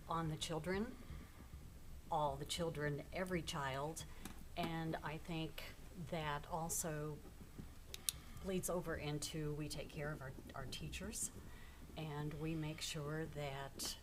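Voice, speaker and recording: A woman speaking slowly and deliberately as a school board candidate. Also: sits low, fairly even intonation, background noise.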